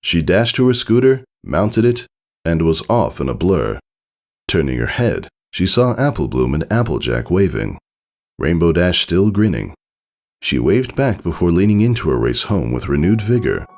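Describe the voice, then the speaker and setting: A man's voice: deep voice, big pitch swings, normal speed, a little mellow. A man reading scripted storybook narration as the narrator of a piece of fiction.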